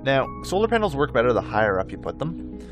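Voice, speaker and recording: A man's voice: mid-range voice, sing-song, fast talker, music in the background. A man giving casual gameplay commentary, thinking aloud in a rambling way and often correcting himself. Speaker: host of a gameplay video.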